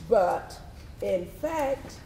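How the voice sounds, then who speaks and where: Slow woman stage reader with creaky voice. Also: medium pitch, lively intonation, mellow, a little hiss or hum. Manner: measured, emphatic. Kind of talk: scripted theatrical narration in readers theatre. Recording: echoey room with audience responses.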